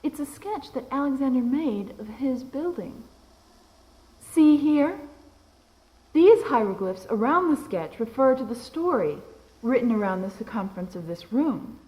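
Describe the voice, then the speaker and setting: A woman's voice: sits high, lively intonation, measured pace, darkish tone, roomy sound, low-quality recording. A woman playing a stern, insistent, bitter mother in an audio drama. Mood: intrigued. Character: commanding, bitter mother, scholarly and accusing.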